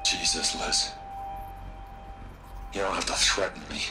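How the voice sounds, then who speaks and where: An actor playing an evasive, wheedling corporate tycoon in a video game cutscene. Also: male voice, low voice, fairly even intonation, unhurried, pushed and bright, slight background noise, full character voice. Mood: pleading. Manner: defensive, wheedling, flustered. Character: rich, evasive male tech tycoon, middle-aged. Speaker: corporate executive.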